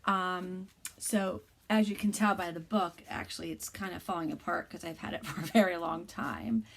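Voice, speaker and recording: Soft-spoken teacher reading a bedtime book. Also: female voice, lowish voice, fairly even intonation, moderate pace, creaky. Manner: soft, hushed reading, often whispering; gentle and encouraging to young children. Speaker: kindergarten teacher. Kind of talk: read-aloud of a bedtime picture book.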